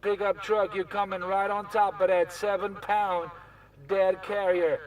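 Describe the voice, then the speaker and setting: Casting: high-voiced male CB operator, showy and repetitive banter, sounding distant from the mic. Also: flat delivery, measured pace. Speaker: CB radio operator. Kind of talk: CB radio banter in repeated chanted catchphrases. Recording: CB radio chatter, off the mic.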